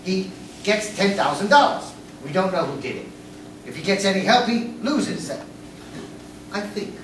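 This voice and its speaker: A woman's voice: mid-range voice, expressive, normal speed, close to an everyday voice. A woman playing a naive, muddled, earnest boyish male castaway in a staged comic radio play. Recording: echoey room, distant mic, faint noise.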